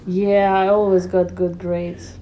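A woman, answering interview questions about her childhood in an unhurried way, searching for her words. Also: on the low side, flat delivery, dark tone, highs cut off. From Russia. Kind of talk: casual childhood memories.

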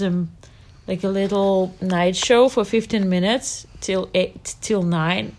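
A woman, answering interview questions about her childhood in an unhurried way, searching for her words. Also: mid-range voice. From Russia. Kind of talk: casual childhood memories.

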